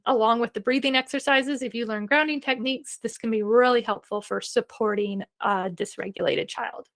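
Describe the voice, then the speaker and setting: A woman walks parents through a homeschool lesson in a relaxed, reassuring webinar voice. Her voice sits at an ordinary middle pitch, and she fills pauses with soft "um"s. She sounds a little worn, as if she is starting to lose her voice. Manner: chatty and reassuring, frequent "um" fillers. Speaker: homeschool program co-founder presenting a webinar. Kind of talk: informal instructional webinar talk.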